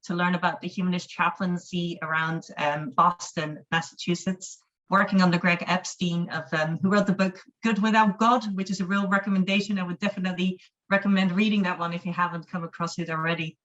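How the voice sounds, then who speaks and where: A woman's voice: on the low side, flat delivery, fairly quick, fairly bright tone. A Dutch-born humanist chaplain with a mixed, Irish-sounding accent, explaining her field earnestly in a webinar interview, with frequent ums and restarts. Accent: mixed Dutch, with an Irish-sounding lilt. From Netherlands. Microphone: video call. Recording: dull lo-fi video call audio.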